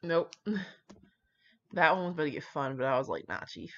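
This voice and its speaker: A woman's voice: low-pitched, very animated, moderate pace, highs cut off. A young woman chatting casually and rambling to her viewers in an irreverent, foul-mouthed, self-mocking manner. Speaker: host of a fan fiction reading channel.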